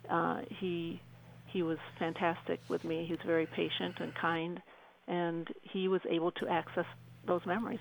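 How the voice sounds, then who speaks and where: A woman speaking as a guest on a radio show, telling her personal story in an earnest, halting way with repeated phrases. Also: fairly low pitch, vocal fry, slight background noise, poor audio. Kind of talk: personal account in an interview.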